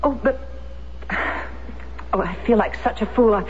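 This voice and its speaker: An actress playing a determined, anxious American war widow in a radio drama, earnest and emotional in manner. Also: female voice, a little high, takes it slowly. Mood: flustered pleading. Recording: noisy, muffled old radio broadcast recording.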